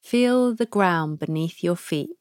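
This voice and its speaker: A woman hosting a podcast, leading listeners through a guided meditation with soft prompts and long pauses. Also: on the low side, expressive. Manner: slow, soft, pausing between prompts. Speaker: podcast host guiding a practice.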